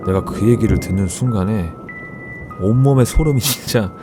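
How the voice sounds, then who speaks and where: A man narrating a first-person horror story in a casual, conversational storytelling way, with deliberate phrasing. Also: fairly low pitch. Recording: background music under the voice. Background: music.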